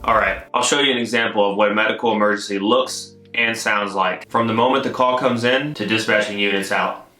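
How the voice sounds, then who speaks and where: A man's voice: on the low side, fairly even intonation, fairly quick, hardly any pauses. A man giving a scripted explainer as a military dispatcher, with informal asides in between. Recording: echoey room, music behind.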